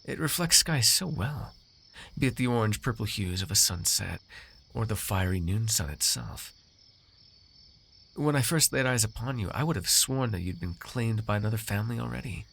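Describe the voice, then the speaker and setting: Male actor as possessive aristocratic master, low pitch, gravelly edge, formal condescending drawl, teasing, ASMR roleplay. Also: expressive, moderate pace, a bit bright, heavily acted. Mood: admiring, possessive. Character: haughty, teasing noble master, smooth-tongued and possessive.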